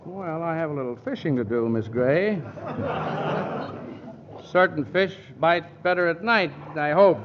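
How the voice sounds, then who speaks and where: A man's voice: fairly high pitch, unhurried, pauses often, lightly acted. An actor playing a breezy, wisecracking male lawyer in a 1940s radio comedy, on a dull old broadcast recording with faint hiss.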